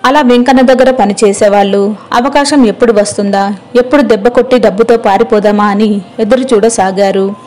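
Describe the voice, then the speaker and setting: A woman's voice: fairly high pitch, steady tone, a little fast, a little mellow. A woman narrating a scripted moral story as its storyteller.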